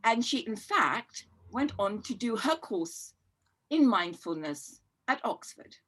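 Middle-aged female podcast guest; warm, reflective and rambling, with a casual conversational delivery. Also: fairly high pitch, big pitch swings, slow, slightly rough.

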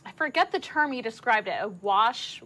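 A woman's voice: a little high, lively intonation, normal speed, sharp tone. A woman hosting a news segment, asking a guest questions in a casual, friendly way with some fillers. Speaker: news anchor. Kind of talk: interviewing a guest.

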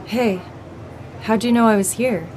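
A woman's voice: a little high, measured pace, noisy background, lightly acted. A woman playing an easygoing, forgiving young friend in an audio drama, with a casual, teasing manner. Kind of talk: naturalistic audio drama acting.

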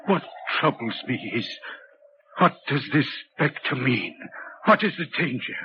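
A man's voice: medium pitch, animated, a little slow, lightly acted. An actor in a 1940s radio drama playing a haunted, guilt-ridden railway signalman, speaking in an anguished, agitated way with emphatic repetitions. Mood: anxious, desperate. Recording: muffled, noisy vintage 1940s radio recording.

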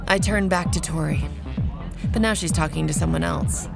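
A woman playing a low-voiced vampire initiate from an audio drama, guarded and brooding. Her delivery is dry and sardonic with a heavy creak, set over clear background music. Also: moderate pace. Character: brooding, cautious female vampire initiate, bitter over lost sire. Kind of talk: audio drama dialogue and first-person narration.

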